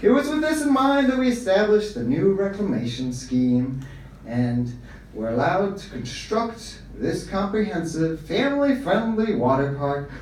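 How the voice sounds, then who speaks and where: A man's voice: high voice, a little hiss or hum, voice pushed up. A man putting on a glossy promo announcer. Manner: bright promotional, salesy. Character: slick upbeat public announcement voice. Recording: echoey room from far off.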